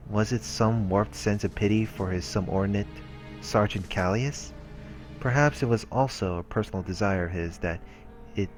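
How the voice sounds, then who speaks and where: A man with a low voice reads a visual novel aloud as a let's play host. He drifts into casual, musing mutters between passages. Game music plays softly underneath. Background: game background music.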